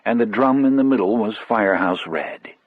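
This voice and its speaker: A man narrating a first-person audiobook story in a measured, reflective manner. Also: on the low side, dry recording, phone-quality sound.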